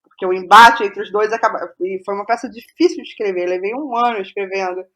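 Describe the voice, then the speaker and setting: A Brazilian woman in a casual interview as a guest playwright, speaking haltingly and repeating phrases as she thinks aloud. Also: medium pitch, a bit bright.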